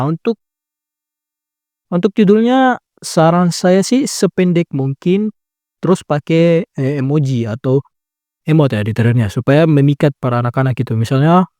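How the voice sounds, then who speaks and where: A man's voice: a little high, expressive, measured pace, pauses often, dark tone, dry recording, studio quality. A young man giving a casual, chatty step-by-step editing tutorial, laid-back with lots of filler tags. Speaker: tutorial creator. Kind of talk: casual screen-recording tutorial.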